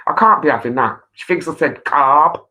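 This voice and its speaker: Bright, forceful woman hosting a chat. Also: sits low, moderate pace. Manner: playful, bantering, teasing. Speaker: talk show host. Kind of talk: casual live chat.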